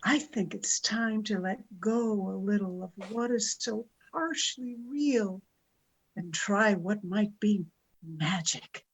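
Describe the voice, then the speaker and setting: A woman's voice: mid-range voice, slow, slightly rough, rough recording. A woman playing a worried, weary middle-aged mother who speaks reflectively in an amateur radio play.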